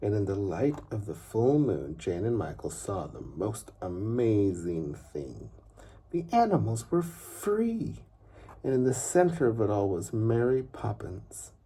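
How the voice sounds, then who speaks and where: A man reading a children's story aloud in an expressive, unhurried way with dramatic pauses and a husky, gravelly voice. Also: lowish voice, slight background noise. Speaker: father reading a bedtime story.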